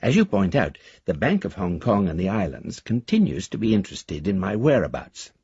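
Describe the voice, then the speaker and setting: A man's voice: sits low, big pitch swings, moderate pace, creaky, darkish tone, breathy edge, no echo, dull audio, heavily acted. An actor playing a roguish, affectionate, well-spoken middle-aged father in a radio drama, with florid, theatrical phrasing. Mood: wry, affectionate. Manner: urbane, florid, theatrical delivery. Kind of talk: radio drama letter reading.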